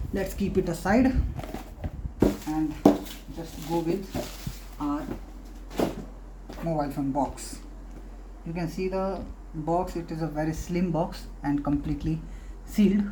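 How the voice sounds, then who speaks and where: A woman hosting a casual product unboxing, talking haltingly in short phrases with pauses. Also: low voice, noisy background. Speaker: unboxing video host.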